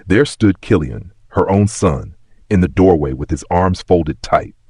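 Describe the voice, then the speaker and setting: A man's voice: deep voice, animated, measured pace, darkish tone, husky, dry recording, clean studio sound. A man narrating a scripted sentimental story in a dramatic, folksy storytelling manner.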